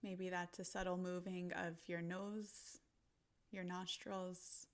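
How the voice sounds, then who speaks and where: A woman narrating a guided visualization in a gentle, soothing manner, pausing deliberately between short phrases. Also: fairly low pitch, little pitch movement.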